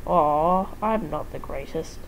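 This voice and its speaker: A woman's voice: sits low, takes it slowly, slight background noise, rough recording. Woman doing a hearty male pirate fox. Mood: happy, modest. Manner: pirate talk, warm and modest. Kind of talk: amateur fan fiction dramatic reading.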